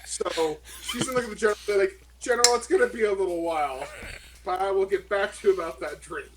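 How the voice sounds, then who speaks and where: A man with a high-pitched voice plays a tabletop game, joking casually as he describes his character's moves. Faint noise sits behind the voice. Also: fast talker. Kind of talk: casual chat, describing own character's actions.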